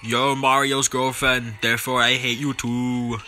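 A man's voice: medium pitch, little pitch movement, a little slow, sharp tone, faint music behind. A man voicing a bitter green dinosaur as a pompous, menacing cartoon villain in an amateur game parody. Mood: scornful.

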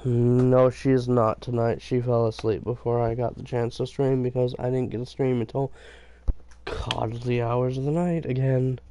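A young man with a stuffy nose and a raspy voice, chatting loosely and swearing at enemies while he streams a game. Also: mid-range voice, flat delivery, normal speed, mellow. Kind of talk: casual gaming commentary.

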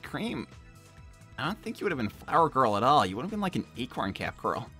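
A teenage cartoon hedgehog hero played by a man with a bright, punchy, mid-pitched voice. He talks in a casual, halting, slangy way, cocky yet kind. Faint music sits behind him. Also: big pitch swings. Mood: awkward, consoling. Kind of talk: improvised character roleplay on a livestream.

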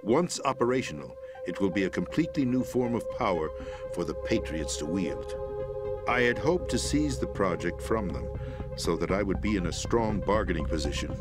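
An actor playing a middle-aged president in a video game, explaining a conspiracy in a measured, grave manner. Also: male voice, low-pitched, lively intonation, loud music behind the voice. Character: calm, grave middle-aged man, president briefing via codec. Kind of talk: video game cutscene voice acting.

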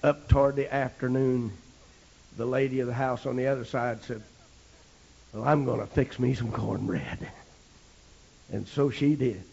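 A middle-aged American man preaching a holiness sermon, moving between folksy storytelling and loud exhortation, punctuating his phrases with amen. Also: medium pitch, fairly even intonation, a little slow, gravelly, long pauses, breathy edge. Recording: dull, lo-fi archival sermon recording.

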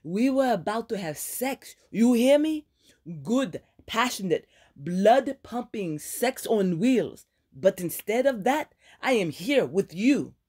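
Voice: medium pitch, takes it slowly, husky, voice pushed up, full character voice. A reader voicing a fiery woman doctor, scolding her friend in a raised, sarcastic voice. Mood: exasperated. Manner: exasperated, sarcastic, lively scolding.

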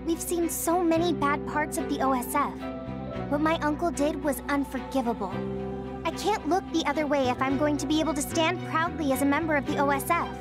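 Woman to play girlish anime game heroine soldier, very high voice, sincere, resolute yet self-doubting. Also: steady tone, fairly quick, over loud music, close to an everyday voice. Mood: earnest, resolute. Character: earnest young woman soldier, determined but self-doubting.

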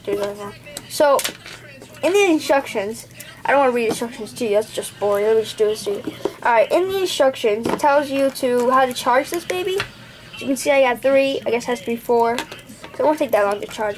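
Young indian woman rambling thru an unboxing, high voice, noisy room behind her. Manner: rambling, thinks aloud, corrects herself. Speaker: gadget reviewer. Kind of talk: casual unboxing vlog. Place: home desk, indoors. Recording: noisy home camera recording.

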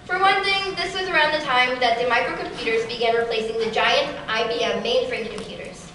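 Bright high teenage girl giving a keynote. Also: fairly quick, slight background noise. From Kansas City area. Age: teens. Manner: steady, rehearsed delivery. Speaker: keynote speaker. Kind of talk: prepared conference talk. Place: large auditorium. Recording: echoey hall from far off.

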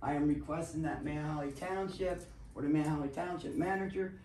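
Woman laying out a complaint at a town meeting, insistent, far from the mic, boomy room. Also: a little fast, a little mellow, reverberant, slight background noise. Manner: detailed and insistent. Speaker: resident speaking at public comment. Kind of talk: formal public complaint. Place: township council meeting room.

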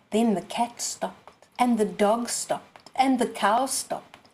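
A woman in her sixties reading aloud expressively for young children, phrase by deliberate phrase. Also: a little high, a little mellow, poor audio. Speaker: storyteller.

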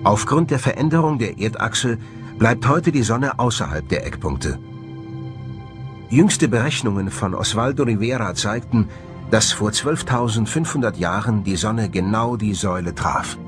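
A man narrates a documentary in a fairly low voice. His intonation is very animated, rising and falling widely through the scripted lines. Music plays clearly behind him. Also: normal speed.

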